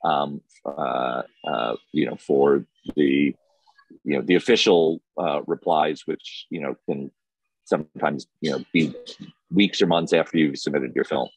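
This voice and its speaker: Deep-voiced man speaking haltingly. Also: husky, no echo, poor audio. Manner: halting, hesitant phrasing with frequent self-repetition. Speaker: film festival programmer and interview guest. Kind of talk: conversational interview answer.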